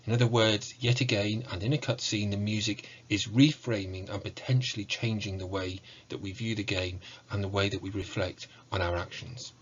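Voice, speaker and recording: A man giving an academic lecture in a measured, explanatory way, leaning on key words. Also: lowish voice, fairly even intonation, lo-fi sound, a little hiss or hum. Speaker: university lecturer. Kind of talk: academic lecture with slides on video game music.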